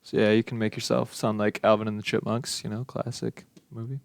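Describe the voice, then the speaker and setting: A young adult man giving a casual software demonstration as a student, informal, offhand and a little tentative. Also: on the low side, level tone, brisk, creaky, no room sound, studio quality. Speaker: student presenting a final project.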